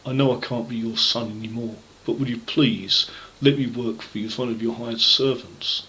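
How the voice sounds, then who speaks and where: A man's voice: medium pitch, steady tone, moderate pace, sharp tone, a little hiss or hum, close to an everyday voice, rough recording. A man voicing a rebellious, later humbled younger son in a told parable, earnest and plain-spoken. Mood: rueful, resolved.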